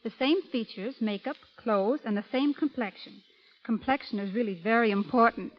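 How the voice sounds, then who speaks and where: A woman's voice: fairly high pitch, normal speed. A woman guest giving a scripted radio interview in a formal, carefully worded way. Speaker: film stand-in and guest. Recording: dull 1930s radio broadcast recording.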